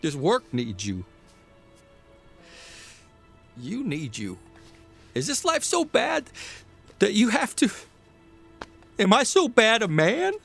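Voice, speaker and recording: Weary, lonely writer, music behind. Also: male voice, high-pitched, huge pitch swings, creaky, fairly bright tone, exaggerated voice. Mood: pleading. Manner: halting, confiding monologue. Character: weary, lonely travelling newspaper writer, troubled husband. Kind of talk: period radio drama monologue.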